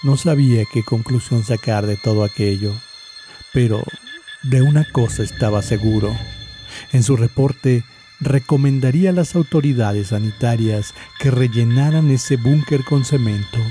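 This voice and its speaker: A man's voice: mid-range voice, mellow. Solemn male narrator over music. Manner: measured, solemn, dramatic pauses. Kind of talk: scripted horror story narration.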